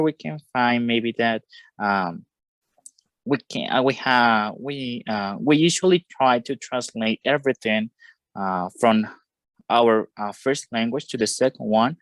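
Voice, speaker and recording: A man's voice: mid-range voice, no room sound. Hesitant young man presenting in class. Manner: hesitant, many fillers and restarts. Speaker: student presenter. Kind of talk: class presentation over video call.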